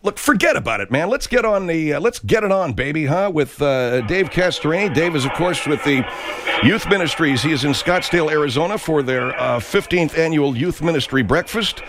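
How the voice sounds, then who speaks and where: A man's voice: on the high side, animated, brisk, background noise. A man hosting a morning radio show, mocking and crude with jokes. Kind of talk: irreverent morning radio banter.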